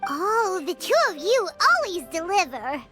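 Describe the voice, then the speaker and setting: A woman voicing a tiny, excitable, childlike floating companion in an anime game, with a squeaky, exclamatory delivery. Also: measured pace, over music, full character voice. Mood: excited, curious. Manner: refers to self in third person, exclamatory.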